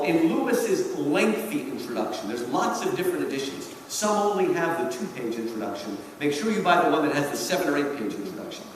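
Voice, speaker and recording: Animated high-pitched American man in echoey hall. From New Jersey, United States. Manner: theatrical, emphatic storyteller. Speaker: lecturer. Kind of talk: animated public lecture with humorous storytelling. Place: large reverberant event hall. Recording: echoey hall from far off, noisy.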